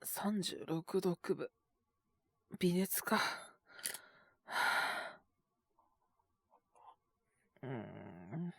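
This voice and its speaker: A man's voice: fairly high pitch, big pitch swings, takes it slowly, vocal fry, a little mellow, husky, dry recording, exaggerated voice. A man acting an earnest, polite young junior coworker who is sick with a cold, coughing and sighing in an intimate Japanese voice drama. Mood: weary, unwell. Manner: polite and deferential on the phone, sighing, murmuring to himself.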